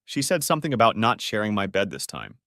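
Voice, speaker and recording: A man's voice: lowish voice, big pitch swings, quick pace, fairly bright tone, no echo, clean studio sound. A man voicing a wry, flirtatious husband in an audio story, joking as he talks. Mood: wry banter.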